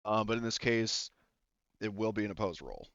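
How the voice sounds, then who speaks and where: A man's voice: lowish voice, sing-song, moderate pace, creaky, fairly bright tone, no echo, dull audio. A man running a tabletop game as game master, rambling and thinking aloud with many fillers.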